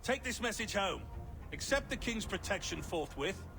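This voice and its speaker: A snarling, high-pitched navy commodore. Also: male voice, big pitch swings, normal speed, gravelly, exaggerated voice. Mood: menacing. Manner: snarling, menacing. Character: haughty, threatening male British naval commodore. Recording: music behind the voice.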